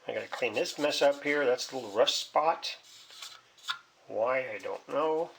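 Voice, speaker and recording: A man talks slowly through a hands-on repair, rambling and hesitant as he thinks aloud. His delivery is folksy and casual, at an average male pitch. It is a homemade recording with some background noise and small clicks. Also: gravelly, fairly bright tone, rough recording. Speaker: hobbyist repairing a vintage radio.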